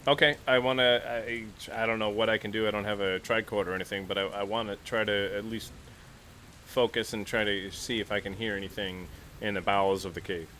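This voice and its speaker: Man, game player, lower pitch, bright edge, casual talk, thinking aloud, noisy background. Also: steady tone, brisk. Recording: clear background noise.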